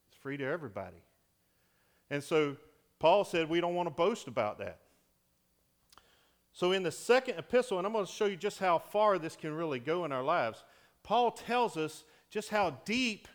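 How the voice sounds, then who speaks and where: Deacon preaching with a big voice. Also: male voice, sits high, measured pace. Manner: animated preaching, swings from loud to hushed. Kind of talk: sermon. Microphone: wearing a microphone.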